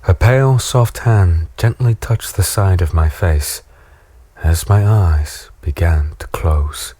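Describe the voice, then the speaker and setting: Man as weary wounded human hero, very low, husky voice, wry, fatalistic, dark fantasy audio drama storytelling. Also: takes it slowly, dry recording, heavily acted. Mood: hushed recounting.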